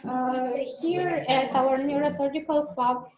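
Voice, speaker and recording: A woman's voice: high voice, fairly even intonation, measured pace, a crowd in the background. A young woman with a Romanian accent hosting a student club meeting, speaking hesitantly with many fillers. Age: young adult. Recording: echoey room, faint noise.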